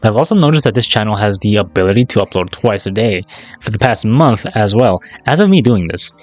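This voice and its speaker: A man's voice: fairly low pitch, lively intonation, fairly quick, faint music behind. A man narrating a casual scripted YouTube commentary in a sarcastic, slangy, profane way. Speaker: YouTube video essayist.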